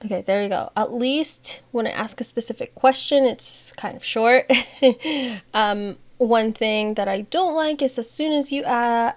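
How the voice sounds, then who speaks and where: Higher-pitched woman demonstrating an app. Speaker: host of an accessibility tech channel. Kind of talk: casual app demonstration vlog.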